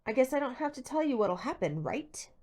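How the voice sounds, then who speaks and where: A woman's voice: sits high, very animated, brisk, darkish tone, pitched above the performer's own voice, full character voice. Woman putting on a cocky, swooping teen bully. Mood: sarcastic menace. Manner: smug, drawling, sarcastic. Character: cocky, sarcastic teenage boy bully.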